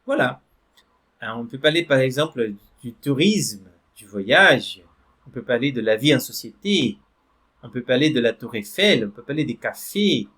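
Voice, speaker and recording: Male French teacher, mid-pitched, patient and slow, reads screen text aloud. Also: lively intonation, a bit bright, breathy edge. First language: Portuguese. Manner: slow, repeats "d'accord?" and "voilà" to check in. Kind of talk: online language lesson.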